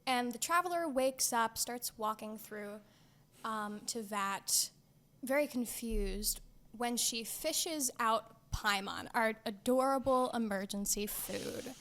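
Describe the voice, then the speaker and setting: A woman's voice: on the high side, slow pace, a bit bright. A young woman hosting a casual podcast, chatting about a video game in a playful, gushing, enthusiastic way. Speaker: podcast host.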